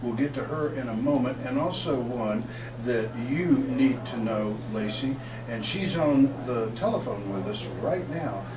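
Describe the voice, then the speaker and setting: A man hosting a community talk radio show with energetic, promotional delivery, reading out phone numbers and introducing guests. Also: medium pitch, measured pace. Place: radio booth set up in a shopping mall. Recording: roomy, distant mic, noisy.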